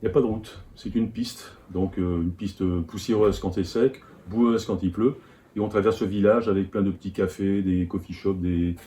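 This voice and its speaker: Deep, relaxed man. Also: moderate pace. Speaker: travel vlog co-narrator. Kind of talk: casual travel recollection.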